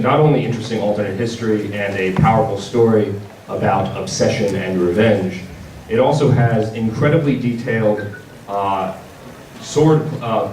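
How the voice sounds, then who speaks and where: A man giving an informal panel presentation as a game publisher, speaking haltingly with stammered repeats. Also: on the low side, a little mellow. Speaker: visual novel publisher presenting at a convention panel. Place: large indoor convention panel room. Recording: echoey, noisy convention room from far off.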